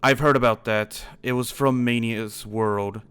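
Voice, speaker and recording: A man's voice: mid-range voice, fairly even intonation, unhurried, a bit bright, smooth, close to an everyday voice. A man playing an earnest dragon slayer in an improvised fantasy game roleplay, explaining things in a serious way. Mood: earnest, grave.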